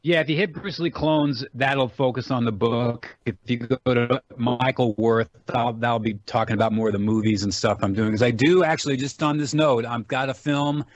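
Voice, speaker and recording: A man's voice: mid-range voice, normal speed, few pauses, no room sound. A middle-aged American man speaking as an interview guest over an internet call, telling stories in a casual, eager, rambling way. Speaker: guest, actor and film director. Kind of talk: casual, chatty interview storytelling.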